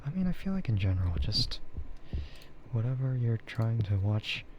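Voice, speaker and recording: A man chatting casually in a soft, half-whispered ASMR style, rambling and hesitant as he streams close to the microphone. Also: medium pitch, very animated, moderate pace, muffled recording, slight background noise. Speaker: VTuber streamer.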